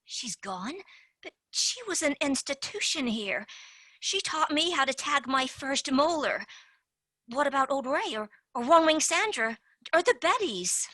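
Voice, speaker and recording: A scheming young tooth fairy agent, played by a woman with a bright, sharp, fairly high voice and a pushy, fast-talking manner. She slips into conspiratorial whispers, and the recording is dry and close. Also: sing-song, no room sound, exaggerated voice. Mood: pleading. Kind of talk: amateur stage-play acting.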